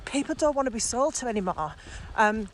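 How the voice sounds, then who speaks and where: A woman's voice: on the high side, expressive, fast, rough recording. A woman, chatting casually as a podcast co-host. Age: 40s. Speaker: podcast co-host, business owner. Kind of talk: casual conversational podcast chat. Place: outdoors at dusk. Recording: faint outdoor background noise.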